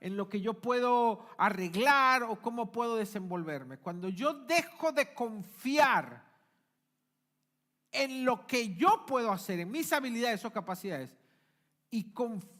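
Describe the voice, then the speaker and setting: A male pastor, preaching a sermon emphatically, swinging between forceful peaks and quiet asides. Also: high voice, very animated, measured pace. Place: church.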